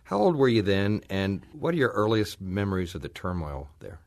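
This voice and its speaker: A man. He works as an interviewer, putting prepared questions to his guest in clear, plain sentences. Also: on the low side, big pitch swings, normal speed, darkish tone.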